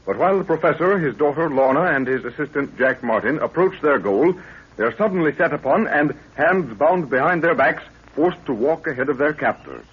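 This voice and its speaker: A man's voice: on the high side, animated, fairly quick, slightly rough. A man narrating a 1930s radio serial in a solemn, melodramatic, declamatory way. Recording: muffled old 1930s radio recording.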